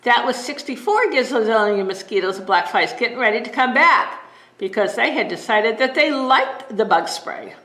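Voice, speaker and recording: Bright-voiced woman reading bedtime stories. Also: on the high side, normal speed. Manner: gentle expressive read-aloud. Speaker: librarian storyteller. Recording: roomy echo.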